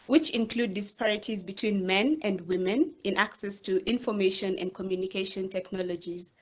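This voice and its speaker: A woman from Kenya moderating an online panel over a video call, introducing speakers in a friendly, measured way with some fillers. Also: medium pitch, low-quality recording.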